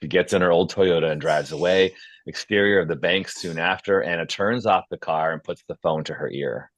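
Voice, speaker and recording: Low-pitched man reading stage directions. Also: a little fast, no room sound. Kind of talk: scripted narration at a table read.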